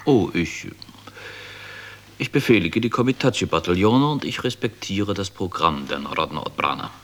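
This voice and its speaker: Deep-voiced, sardonic army major. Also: male voice, animated, moderate pace. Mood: measured. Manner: sardonic, condescending, chuckling at the young. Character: wry, guarded army major, patronizing yet obedient volunteer leader. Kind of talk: German radio drama acting.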